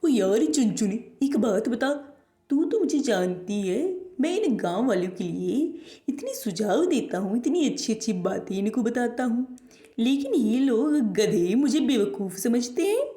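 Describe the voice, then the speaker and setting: A woman's voice: fairly high pitch, very animated, a little slow, mellow, reverberant, voice pushed down. A woman voicing a brash, conceited male parrot for a children's story, with cheeky, folksy exclamations and buddy-like talk. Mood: indignant.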